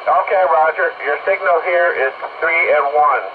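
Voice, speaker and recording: A man's voice: a little high, normal speed. A man giving procedural signal reports as a radio operator, heard through a radio transmission. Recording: dull, noisy radio reception.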